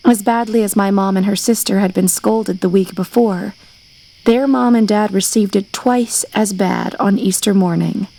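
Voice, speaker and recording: A woman's voice: medium pitch, warm tone, rough recording. A woman reading a scripted scary story as its narrator.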